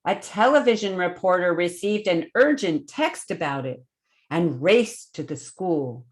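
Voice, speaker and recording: A woman hosting a children's storytime, reading a picture book aloud expressively and pausing to put questions to young listeners. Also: lowish voice. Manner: expressive, deliberate, simple phrasing with questions to children.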